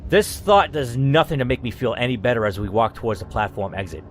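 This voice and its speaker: Guy reading a creepy choose-your-path story, lively up and down voice, thinks out loud and swears. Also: mid-range voice, a little fast, a little hiss or hum. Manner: reacts out loud and swears while weighing choices. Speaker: host reading an interactive story. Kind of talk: dramatic reading with spoken choices.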